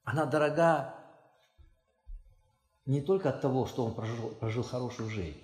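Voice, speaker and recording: A man's voice: normal speed, darkish tone. A man preaching a sermon as pastor, speaking earnestly and pressing his points with rising emphasis. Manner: earnest, emphatic, rises in pitch and force when stressing a point. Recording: long hall reverb.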